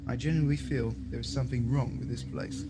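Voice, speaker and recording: A man's voice: mid-range voice, steady tone, fast talker, lightly acted. A man playing a blunt, sceptical, easily indignant commissioner in an audio drama. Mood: disgruntled. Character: wary, indignant male federation commissioner. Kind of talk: radio drama acting. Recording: noisy amateur 1980s audio drama tape.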